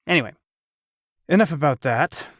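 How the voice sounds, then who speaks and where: Chatty man with a lighter-pitched voice. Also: expressive, moderate pace, fairly bright tone, no room sound, clean studio sound. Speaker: podcast host and voice actor. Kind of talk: casual panel discussion.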